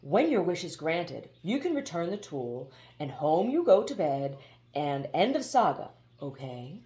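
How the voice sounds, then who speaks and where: A woman's voice: sits low, sing-song, unhurried, warm tone, roomy sound, lo-fi sound. A woman voicing a proud, fussy male water genie in a storybook, talking in wordy, coaxing strings of phrases. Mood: soothing, explaining. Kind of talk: storybook character voice.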